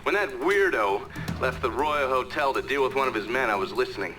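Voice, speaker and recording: A man playing a gruff-talking investigator, recounting past events as scripted narration in a crime game. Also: medium pitch, lively intonation, fairly quick, over music, natural voice, poor audio. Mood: matter-of-fact.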